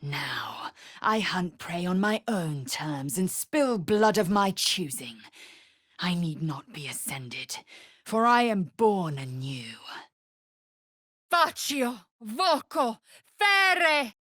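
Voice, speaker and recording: A woman's voice: fairly low pitch, sing-song, slow, a bit bright, no echo, clean studio sound, full character voice. An actress playing a fierce, proud young alien warrior woman in a fantasy video game, speaking in an imperious, clipped, scornful way with formal phrasing. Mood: solemn, intense, then battle cry.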